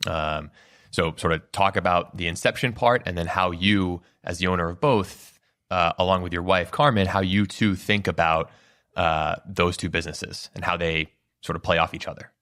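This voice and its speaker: A man hosting a business podcast, asking long, winding interview questions in a measured way. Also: low voice, a bit bright. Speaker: podcast host.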